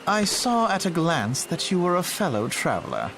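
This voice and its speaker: An actor playing a polite, precocious scholarly youth in a video game, speaking formally with courteous, slightly pompous self-assurance. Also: male voice, fairly high pitch, wildly swooping pitch, moderate pace, gravelly, heavily acted, low-quality recording. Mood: courteous, self-assured. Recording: music playing behind the voice.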